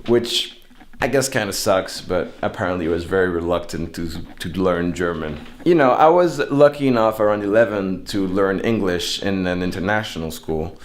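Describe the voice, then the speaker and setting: A man's voice: low voice, animated, moderate pace, a little hiss or hum. A young man, a native French speaker whose English has an American-influenced French accent, chatting casually in an informal, musing and self-deprecating way. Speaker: speaker giving an informal opinion talk. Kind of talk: casual chat.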